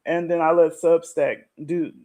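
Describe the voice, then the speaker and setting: A woman's voice: low voice, steady tone, unhurried, dark tone. Middle aged woman, just chatting and explaining stuff like a coach on a call. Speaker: guest, retirement and money coach who writes articles. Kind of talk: casual explanatory chat.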